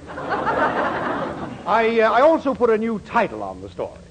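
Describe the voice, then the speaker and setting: Meddling middle-aged boss, American, extremely animated high pitch, fussy, hemming, apologetic, 1940s radio comedy. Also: male voice, slow pace, crowd noise, full character voice. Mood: fussily apologetic. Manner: hemming, falsely modest. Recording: noisy vintage 1940s radio recording.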